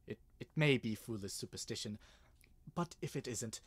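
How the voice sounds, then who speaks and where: A man acts out an earnest, uneasy gentleman in a 1930s-style radio drama. He speaks formally at a middle pitch, with careful, old-fashioned delivery. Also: normal speed, husky, close to an everyday voice. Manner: hesitant, formal. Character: nervous, earnest gentleman client reading an old legend. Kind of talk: 1930s radio mystery acting.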